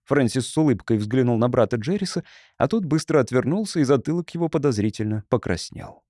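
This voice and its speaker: Animated male audiobook narrator, dry sound. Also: mid-range voice, fairly quick, dry recording. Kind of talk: scripted literary narration.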